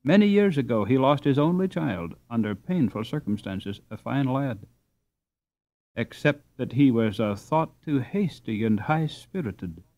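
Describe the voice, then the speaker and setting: A man voicing a placid, dignified, kindly mother in a storybook, measured and courteous as she gently admonishes. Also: mid-range voice, expressive, highs cut off. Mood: sympathetic.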